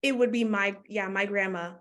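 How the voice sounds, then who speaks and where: A woman from Canada, talking casually as a guest in an interview, full of "like" fillers and quick laughs. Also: medium pitch, steady tone, fairly bright tone. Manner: chatty, many "like" fillers, laughs often. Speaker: guest, doula.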